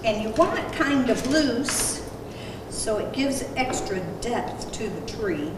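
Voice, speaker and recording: A woman walks through a holiday craft step by step, folksy and instructive, her talk unhurried. She sounds set back from the mic in a very echoey room with long reverb and loud background noise. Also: on the high side, lively intonation, far from the mic. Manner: plain-spoken, instructive, folksy. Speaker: craft demonstrator. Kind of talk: casual how-to demonstration. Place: senior center room.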